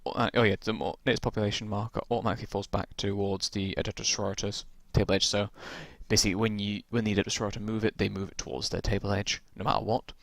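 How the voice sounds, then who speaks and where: A man with a low, gravelly voice talks his way through a tabletop game's rules, rambling and restarting as he goes. His voice has turned croaky after a long stretch of talking. The recording is dry and close. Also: flat delivery, fast, few pauses, no echo. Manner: rambling, many ums and restarts. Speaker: tabletop game reviewer. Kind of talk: casual rules walkthrough.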